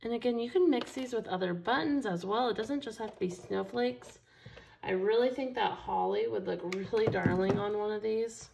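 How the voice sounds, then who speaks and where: A woman's voice: medium pitch, moderate pace, creaky, a little mellow, a little hiss or hum, low-quality recording. A woman teaching a casual craft tutorial, chatting enthusiastically as she works with her hands at a table. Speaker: craft store instructor. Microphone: overhead camera, only her hands seen.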